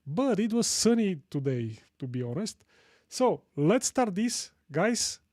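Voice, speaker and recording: A man from Sofia with a Bulgarian accent hosting a casual live tech tutorial, chatting with viewers in a halting way and repeating phrases for emphasis. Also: high-pitched, very animated, darkish tone, no echo, studio quality. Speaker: live stream host.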